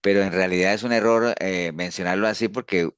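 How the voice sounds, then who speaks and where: A man's voice: low-pitched, fairly even intonation, fairly quick, smooth, dry recording, dull audio. A pastor giving an informal discipleship teaching, talking conversationally and often tagging his sentences with a questioning "no". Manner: conversational, frequent "¿no?" tags and restarts.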